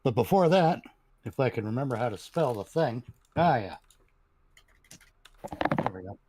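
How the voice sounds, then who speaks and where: A man's voice: mid-range voice, sing-song, normal speed, heavy background noise. A man on a panel stream, chatting casually as he announces shows. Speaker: panel member promoting shows.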